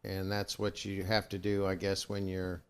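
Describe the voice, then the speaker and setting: A man's voice: low voice, monotone, normal speed, clean tone. An American man chatting casually as co-host of an interview show. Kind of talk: casual chat.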